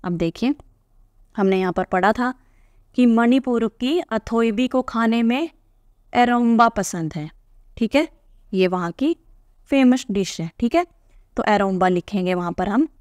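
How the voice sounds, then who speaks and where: A woman's voice: fairly high pitch, animated, measured pace, long pauses, darkish tone, dry recording, studio quality. A woman teacher reading aloud from a textbook and patiently explaining each answer to young pupils.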